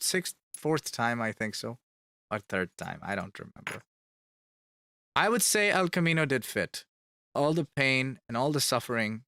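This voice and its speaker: A man's voice: on the high side, sharp tone, dry recording, studio quality. A man chatting casually on a live stream, reading fan questions aloud and answering them, repeating words for emphasis. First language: Finnish. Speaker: band member streaming to fans.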